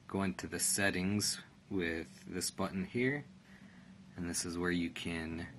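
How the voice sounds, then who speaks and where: Guy, really deep voice, casual matter-of-fact how-to walkthrough, noticeable room noise. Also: sharp tone. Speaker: product reviewer giving a setup tutorial. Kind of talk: casual step-by-step tutorial narration. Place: indoors in a room. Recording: clear background noise, room ambience.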